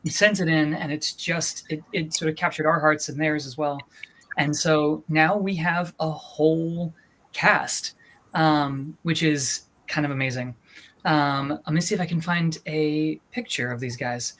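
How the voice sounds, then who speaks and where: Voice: mid-range voice, fairly even intonation, measured pace, a bit bright, a little hiss or hum. A person who produces audiobooks and acts with their voice, informally presenting a project in an enthusiastic, conversational manner.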